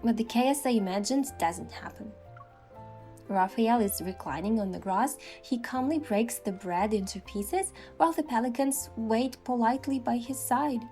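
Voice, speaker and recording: A woman with a fairly high voice narrates a first-person story. She is expressive and acts out her lines. Music plays softly behind her. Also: normal speed. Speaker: narrator voicing a game's heroine.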